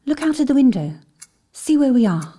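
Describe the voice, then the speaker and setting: A mellow-voiced, mysterious female investigator played by an actress in an audio drama. She is calm and perceptive, thoughtful and questioning, with very varied intonation. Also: high voice, moderate pace. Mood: uneasy, intent. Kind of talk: scripted audio drama acting.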